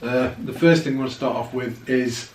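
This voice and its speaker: A man's voice: medium pitch, fairly even intonation, moderate pace, a little mellow. A man, hosting a casual interview and leading with encouraging questions from a living room sofa. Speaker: interviewer, paranormal channel host. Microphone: far camera. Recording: echoey room from a distance.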